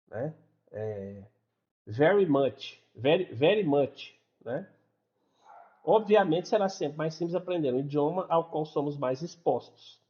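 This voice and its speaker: A man from Brazil with a Brazilian Portuguese accent, explaining a lesson in a casual, chatty way and checking in with listeners after nearly every sentence. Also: on the high side. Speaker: English teacher. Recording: dull lo-fi recording.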